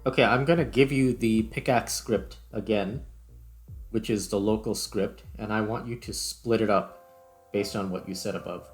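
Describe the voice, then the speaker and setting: A man's voice: on the low side, steady tone, moderate pace. A man casually hosting a game development video, thinking aloud in an informal way. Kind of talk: casual screen-recorded tutorial commentary. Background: background music.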